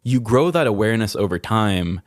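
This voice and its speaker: Male podcast host, slightly deep, informal and reflective, intimate dry sound. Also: animated, normal speed, no echo. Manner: introspective, informal, occasional swearing. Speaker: podcast host sharing a personal story. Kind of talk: candid reflective conversation.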